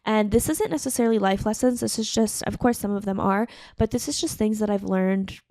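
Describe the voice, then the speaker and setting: A woman's voice: mid-range voice, brisk, warm tone, dry recording. A woman in her late twenties hosting her own podcast, talking alone in an earnest, chatty way with lots of fillers. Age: late 20s. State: tearful, emotional. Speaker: podcast host. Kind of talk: casual solo podcast monologue.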